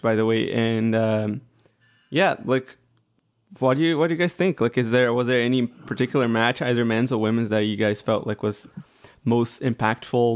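A Brazilian-accented man hosts a casual podcast chat, loose and hesitant, often stopping on fillers. His voice sits at an ordinary middle pitch. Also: smooth. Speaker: podcast host.

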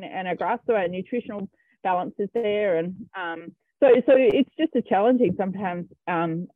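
A man's voice: high-pitched, no echo. An Australian man, a cattle farmer, answering interview questions in a hesitant way with many ums and restarts. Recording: tinny phone-line sound, dry.

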